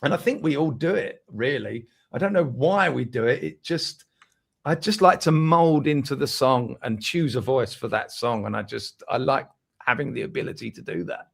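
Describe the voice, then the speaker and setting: Gravelly older man with a London accent. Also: medium pitch, normal speed. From Essex, England. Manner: chatty, laughs often, animated impressions. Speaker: musician guest. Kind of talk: casual interview chat.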